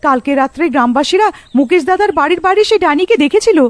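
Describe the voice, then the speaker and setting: Woman playing a very high-voiced anxious village wife. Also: lively intonation, quick pace, dry recording. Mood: fearful. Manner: pleading, fretful. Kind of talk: cartoon voice acting.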